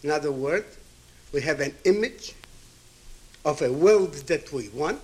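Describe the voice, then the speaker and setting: A man in his seventies from Ukraine who grew up with Hebrew and Yiddish delivers a reflective address. His voice sits fairly high for a man and moves very slowly. He is emphatic, repeating key phrases and posing questions to his listeners. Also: lively intonation, a little mellow, low-quality recording. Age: 70s. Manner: emphatic, with rhetorical questions and repetition.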